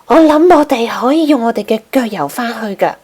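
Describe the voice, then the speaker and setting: A woman's voice: a little high, expressive, a little fast, darkish tone. A woman voicing a timid, worried young penguin in a children's storybook, fretful and plaintive. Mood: hopeful.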